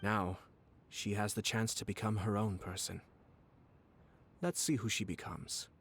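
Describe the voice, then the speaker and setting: A man voicing a young noble commissioner who speaks in a measured, courteous, formal way. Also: lowish voice, steady tone, slight background noise. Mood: calm. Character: young male noble commissioner, calm and cunning strategist. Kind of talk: video game voice acting.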